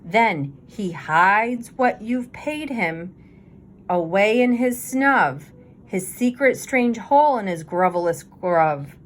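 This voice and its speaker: Animated, unhurried woman reading a storybook. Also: mid-range voice. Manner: expressive, deliberate delivery for children. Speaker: storybook reader. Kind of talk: read-aloud of a rhyming children's story.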